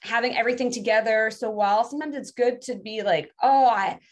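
A woman's voice: on the high side, fairly quick. A woman whose first language is French, speaking English as a podcast guest and talking in an earnest, rambling way with many restarts. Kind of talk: casual interview chat.

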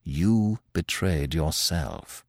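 A man voicing a grave, quiet leader. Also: very animated, gravelly, no echo, studio quality, full character voice. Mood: calm, grave. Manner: quiet, measured, low delivery. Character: grave, composed man leading a secret group.

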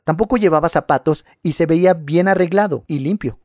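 A man reading scary first-person stories aloud in a calm, measured narration. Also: on the high side, no room sound, studio quality.

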